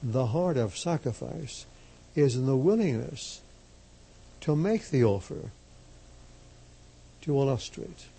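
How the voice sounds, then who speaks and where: Slow, gravelly-voiced priest preaching. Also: male voice, mid-range voice, lively intonation, mellow. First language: Slovak. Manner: emphatic, measured, repeats key phrases. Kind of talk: slow deliberate sermon.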